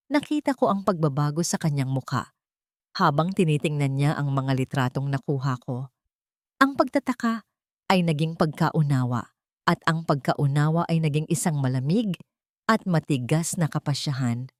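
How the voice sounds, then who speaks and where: A woman's voice: low-pitched, animated, normal speed, no echo, studio quality. A woman reading a dramatic first-person story as a scripted audiobook narration.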